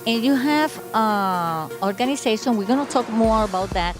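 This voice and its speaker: A woman's voice: on the high side, animated, moderate pace, music in the background. A woman host whose first language is Spanish, interviewing a guest in English with enthusiasm. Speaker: show host. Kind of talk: interview hosting.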